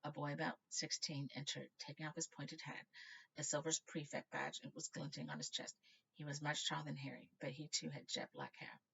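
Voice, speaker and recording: A woman's voice: deep voice, fairly even intonation, brisk, creaky, a bit bright, highs cut off, slight background noise. A woman narrating a children's novel aloud, informally, stumbling now and then and correcting herself. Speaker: storybook reader.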